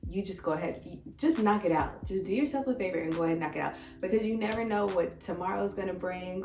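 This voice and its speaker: Casual vlogger, American woman, lowish slightly raspy voice, expressive, recorded away from the mic. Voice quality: a little raspy. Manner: informal, expressive, talks directly to viewers. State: slightly hoarse. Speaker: vegan food vlogger and host. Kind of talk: casual chatty life-update vlog. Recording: echoey room, distant mic, faint music.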